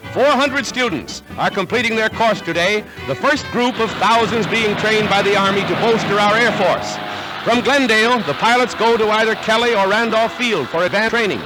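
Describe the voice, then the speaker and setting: A man's voice: high-pitched, moderate pace, low-quality recording. An American man delivering scripted 1940s newsreel narration in a dramatic, punchy announcer manner, on a vintage film soundtrack. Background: music.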